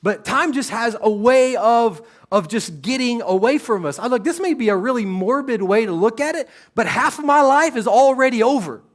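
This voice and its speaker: A man, preaching a sermon on a church stage in a relaxed, joking, conversational way. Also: extremely high pitch, moderate pace, few pauses. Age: 30s. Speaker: pastor.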